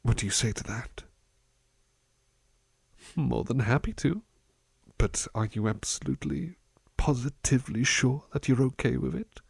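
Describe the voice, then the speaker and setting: Gentle male butler, played by a man, ASMR roleplay. Slow, gravelly, courteous, tenderly attentive delivery. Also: medium pitch, animated, no room sound, full character voice. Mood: gently chatty. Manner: soft-spoken, hesitant, courteous, reassuring. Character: gentle, devoted, polite butler attending to a master.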